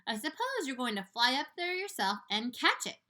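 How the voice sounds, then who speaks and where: A woman voicing a fussy, panicky male earthworm in a read-aloud storybook, shouting indignantly. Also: high voice, big pitch swings, moderate pace, voice pushed up, heavily acted.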